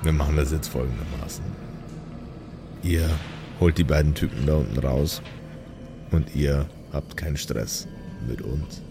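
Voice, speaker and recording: A man's voice: very deep voice, a little slow, vocal fry, long pauses, music in the background, pitched below the performer's own voice, heavily acted. A man voicing a shady, chummy cleric in an improvised audio game, slangy and repeating himself. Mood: cool, menacing. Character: shady, chummy male cleric playing it cool.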